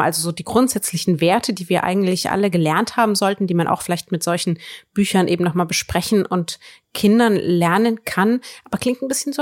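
A woman presenting a book podcast as co-host in a conversational style. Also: lowish voice.

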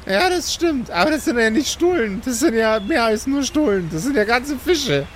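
A man's voice: extremely high pitch, sing-song, normal speed, a bit bright, slight background noise, exaggerated voice. A man voicing an obliging, literal-minded fish seller at a harbour in an improvised tabletop roleplay, doggedly upselling. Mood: persistent, polite.

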